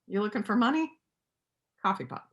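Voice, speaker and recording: A woman reads the part of a lonely country vet who paints. Her voice sits at a middle pitch, earnest and plainspoken, in the unadorned style of a staged play reading. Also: animated, fairly quick, a bit bright, close to an everyday voice. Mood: earnest, subdued. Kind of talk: church reader's theater acting.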